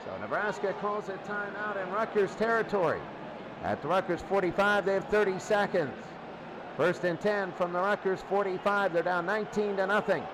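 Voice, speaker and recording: A man's voice: high voice, normal speed, very noisy. A man announcing football play-by-play as live sports commentary, in short clipped phrases.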